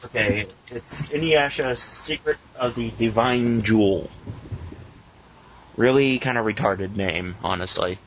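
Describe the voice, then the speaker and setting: A man co-hosting a casual podcast with teasing, profane banter and halting, informal review talk. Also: medium pitch, a little hiss or hum. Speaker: podcast host. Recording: muffled lo-fi internet call recording.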